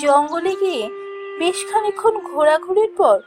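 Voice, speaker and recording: A woman narrating a scripted fairy tale in a storytelling manner, pausing between short phrases. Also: extremely high pitch, loud music behind the voice.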